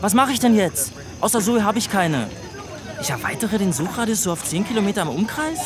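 A man's voice: high voice, big pitch swings, fairly quick, crowd noise. A man playing a crude, frustrated, self-loathing teenage boy who rambles in whiny slang in a radio drama. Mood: restless. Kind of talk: colloquial radio drama dialogue.

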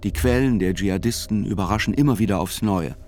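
A man reading a scripted literary chronicle as narrator, in a measured, deliberate and articulate way. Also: fairly low pitch, a little mellow, a little hiss or hum.

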